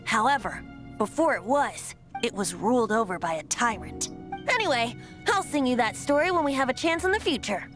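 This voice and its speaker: A woman's voice: on the high side, animated, moderate pace, lightly acted. A woman playing a breezy young minstrel and storyteller in a scripted game role. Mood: musing. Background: game music.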